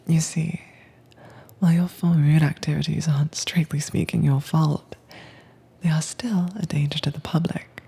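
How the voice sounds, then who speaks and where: A woman performing a whispered roleplay as a calm, commanding agent who keeps a werewolf caged, speaking in a breathy, teasing manner close to the mic. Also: low-pitched. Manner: cool, teasing, unhurried. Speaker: audio drama voice actress. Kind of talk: soft-spoken whispered roleplay audio.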